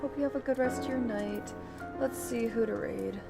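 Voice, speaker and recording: A woman's voice: on the high side, normal speed, creaky, over music. A woman hosting a game livestream, chatting casually. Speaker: livestream host.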